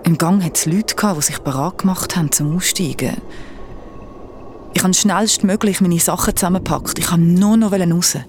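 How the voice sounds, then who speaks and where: A woman's voice: lowish voice, moderate pace, background noise, natural voice. A woman with a Swiss German accent playing a shaken, insistent young traveller who narrates her ordeal in a radio drama. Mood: shaken, tense.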